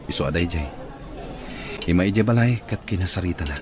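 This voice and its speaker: An earnest, troubled young Filipino man in a radio drama, played by an actor. His voice is deep, heard over clear restaurant chatter and clinking. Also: animated, fairly quick.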